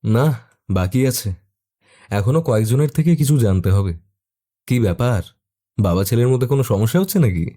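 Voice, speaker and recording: A man's voice: mid-range voice, lively intonation, normal speed, pauses often, warm tone. A man reading a scripted detective story as narrator, also speaking the detective's questions.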